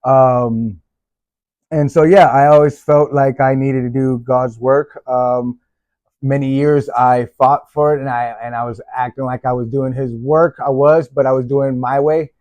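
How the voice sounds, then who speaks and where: A man's voice: mid-range voice, steady tone, measured pace, a little mellow, dry recording. A middle-aged man from Texas, vlogging in a rambling, casual way with many fillers and restarts. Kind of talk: casual faith-based vlog intro. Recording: dull, dry lo-fi sound.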